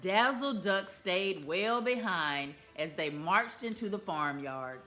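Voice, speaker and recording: A woman reading a children's picture book aloud in an expressive, animated way. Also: fairly low pitch, takes it slowly, soft background music. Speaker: storyteller.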